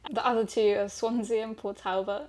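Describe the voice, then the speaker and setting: A quiet, soft-spoken young woman from Germany chats easily as a guest. Her voice sits at an ordinary middle pitch and she talks in a relaxed, conversational way. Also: fairly quick. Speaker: guest, journalist. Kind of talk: casual chat.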